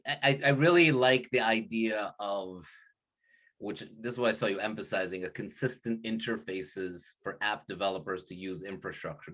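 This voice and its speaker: A man with a lowish voice talks casually in an online work meeting. He rambles, breaks off mid-thought and restarts, laughing now and then. He speaks through a laptop mic on a video call. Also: sharp tone. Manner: rambling, self-interrupting, laughs often. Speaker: meeting participant.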